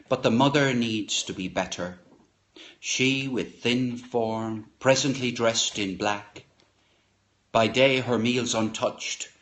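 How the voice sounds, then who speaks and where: A man reciting poetry aloud in a solemn, expressive way, lingering over short dramatic phrases. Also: fairly low pitch. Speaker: poetry reader, live stream host.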